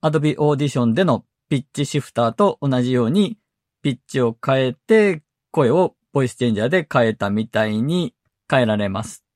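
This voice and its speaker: A man hosting a tech podcast, explaining software methodically, step by step. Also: mid-range voice, lively intonation, normal speed, no echo, clean studio sound. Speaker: podcast host.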